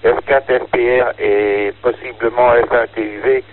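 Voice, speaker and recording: An older man talking casually over amateur radio, giving call signs and speaking in a hesitant, halting way. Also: mid-range voice, steady tone. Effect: two-way radio. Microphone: radio transceiver microphone. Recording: narrow, lo-fi two-way radio link.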